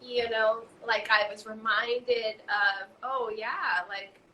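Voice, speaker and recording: A young woman from Hungary talks as a guest in a relaxed chat. Her voice sits a little high and sounds bright. She stops and restarts often and fills gaps with "um" and "like", and a faint hiss sits behind her. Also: rough recording. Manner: halting, many fillers and restarts. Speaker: guest, raw food author. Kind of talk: casual conversation.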